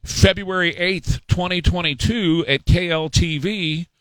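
A man's voice: high voice, steady tone, unhurried, a bit bright, no echo, rough recording. A middle-aged man hosting a talk show, giving opinionated political commentary in a sarcastic, mocking, emphatic way.

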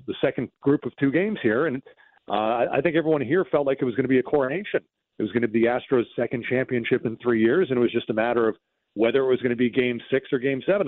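A man's voice: medium pitch, no room sound. A man speaking as a baseball broadcaster guest, reminiscing conversationally about games over a phone-like connection. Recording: narrow phone-like line.

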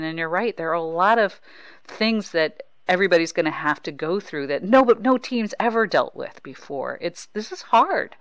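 Woman sports podcaster with gravelly fry. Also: lowish voice, fairly bright tone, no echo. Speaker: podcast host, baseball writer. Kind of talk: casual sports interview chat.

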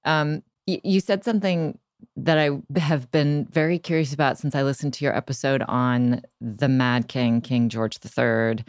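Very deep-voiced woman interviewer. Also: steady tone, normal speed, dry recording, studio quality. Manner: thoughtful, wandering questions. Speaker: podcast host, interviewer. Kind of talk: conversational interview.

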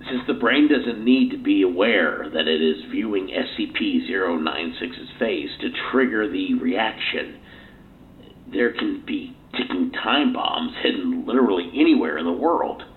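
An actor playing a grim, agitated scientist in an audio drama, explaining an incident with urgent emphasis. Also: male voice, sits low. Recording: tinny interview tape with hiss.

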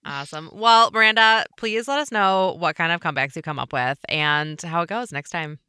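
A woman's voice: on the low side, moderate pace, pushed and bright, smooth, dry recording, studio quality. A woman chatting casually as part of a talk show. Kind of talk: casual chat.

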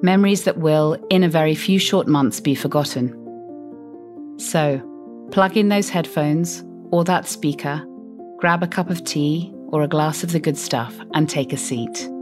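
A woman hosting a podcast, reading a calm, measured scripted introduction. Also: sits low. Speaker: podcast host. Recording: voice over clear music.

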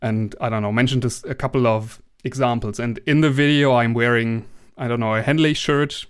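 A young man from Germany speaks English with a German accent in a relaxed interview. His voice is smooth and clear at a middle pitch, and he often pauses, restarts and fills gaps as he searches for words. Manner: hesitant, many restarts and fillers. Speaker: guest. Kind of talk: casual interview conversation.

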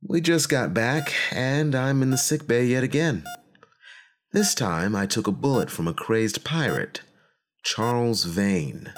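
A man's voice: mid-range voice, animated, measured pace, lightly acted. A man playing a frustrated, self-justifying colonel recording a conversational personal log in an audio drama. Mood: frustrated, wry. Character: frustrated, self-justifying male military colonel, a time traveler.

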